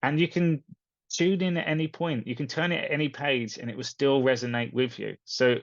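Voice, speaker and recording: A man's voice: medium pitch, fairly quick, no echo. Male coach, casual and earnest, emphatic short phrasing; dry, slightly dull sound. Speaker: spiritual coach and video host. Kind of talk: casual book recommendation talk to viewers.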